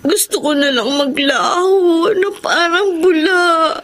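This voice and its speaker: An actress playing an exhausted, self-sacrificing elder sister in a radio drama, weary, plaintive and sobbing. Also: female voice, very high-pitched, slow, a bit bright, full character voice. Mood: weary despair. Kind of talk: Tagalog radio drama acting. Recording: music playing behind the voice.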